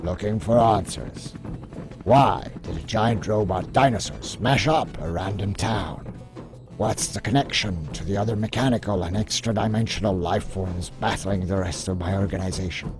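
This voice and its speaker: A man's voice: fairly low pitch, normal speed, over music, pitched below the performer's own voice, lightly acted. A man playing an eager, wry toy-soldier field agent with chummy patter in an amateur comic dub. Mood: wry, businesslike.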